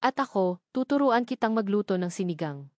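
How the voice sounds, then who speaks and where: A woman plays a young woman deeply in love, tender and set on standing by her partner. It is romantic drama dialogue in a middle-pitched voice. The sound is close and dry. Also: lively intonation, brisk, no room sound, lightly acted. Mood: encouraging.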